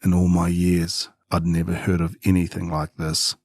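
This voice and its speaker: A man with a very deep, husky voice narrates a scary first-person story. He keeps a grave, measured delivery with little swing in pitch, building suspense in a dry, close sound. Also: completely flat delivery, darkish tone, no echo.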